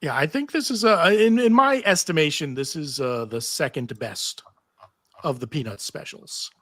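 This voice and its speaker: A man with a bright, forward voice sitting a little high, caught dry and close. He organizes a casual table read and narrates the stage directions in a chatty panel style. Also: normal speed, no room sound.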